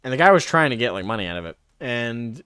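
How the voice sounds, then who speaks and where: A man's voice: mid-range voice, very animated, fast, fairly bright tone, clear, resonant tone, no room sound, studio quality. A man streaming casually, reading card text aloud and drifting into rambling personal stories. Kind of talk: casual live stream chat.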